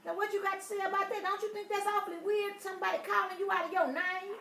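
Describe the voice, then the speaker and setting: A woman's voice: extremely high pitch, steady tone, fast, gravelly, sharp tone, heavily acted. A woman playing an aggrieved, easily offended customer, rambling and swearing through a prank phone complaint. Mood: aggravated. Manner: indignant, rambling, repetitive, foul-mouthed. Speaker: prank caller. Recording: roomy, distant, noisy phone prank recording.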